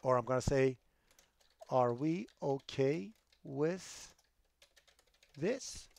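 A middle-aged man, a college programming instructor lecturing online over a headset, emphatic and rising loud when he makes a point. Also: medium pitch, animated, extremely slow, creaky, no room sound. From Iran. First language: Persian.

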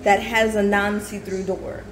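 A woman's voice: mid-range voice, level tone, unhurried, crowd noise. A woman chatting casually and bantering in an opinionated way, as a parent co-hosting a home cooking stream. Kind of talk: casual chat. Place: home kitchen. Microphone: distant camera mic over the counter. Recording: echoey kitchen with music behind.

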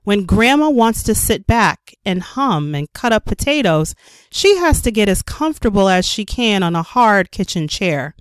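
A woman narrates a children's picture book in a careful, expressive way. Her pitch sits in the middle for a woman. The sound is dry and close, with no room around it. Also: normal speed, clean tone, no echo. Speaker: storyteller reading a children's picture book aloud. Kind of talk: scripted read-aloud narration.

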